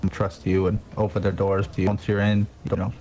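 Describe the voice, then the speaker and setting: A man's voice: low voice, completely flat delivery, a little fast, warm tone, reverberant, far from the mic, slight background noise, close to an everyday voice, low-quality recording. A man playing a rambling New York mafia hitman. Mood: boastful. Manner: rambling, hesitant, full of "you know". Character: young male mafia hitman boasting of his past. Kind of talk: improvised character in a game voice chat.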